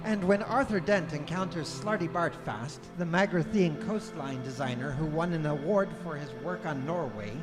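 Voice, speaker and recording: A man's voice: a little high, moderate pace, few pauses, lightly acted. A man playing the narrator of a comic science fiction radio play, reading scripted narration in a live performance. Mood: measured, wry. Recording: music under the voice. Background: music.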